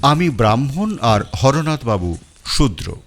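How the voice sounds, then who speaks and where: A man's voice: medium pitch, sing-song, a little slow. A man narrating a first-person detective story in a storytelling style.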